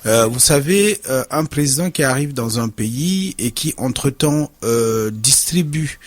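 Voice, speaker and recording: A man's voice: medium pitch, animated, unhurried, dry recording. A man speaking conversationally as a guest in a live radio interview. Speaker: interview guest, association president. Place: radio studio.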